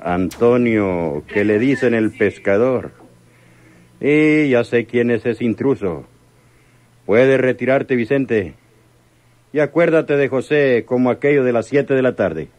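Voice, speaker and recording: An actor playing a domineering, impatient crime boss in a radio serial, commanding and sardonic. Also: male voice, mid-range voice, slow pace, a little mellow, slight background noise, close to an everyday voice. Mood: suspicious, sardonic. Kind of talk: radionovela acting.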